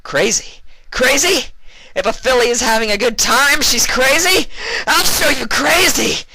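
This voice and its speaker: Man acting a manic, forceful young mare fighter. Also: very high voice, unhurried, voice pushed up, heavily acted, rough recording. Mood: manic fury. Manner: ranting, swearing, shouting. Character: brash, violent, manic young female pony fighter.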